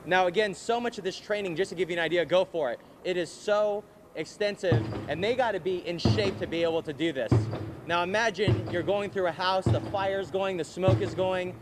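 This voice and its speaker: A man's voice: high voice, brisk. A young man reporting live in the field for TV from a large fire station garage, holding a handheld mic and exclaiming often. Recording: echoey garage with background noise.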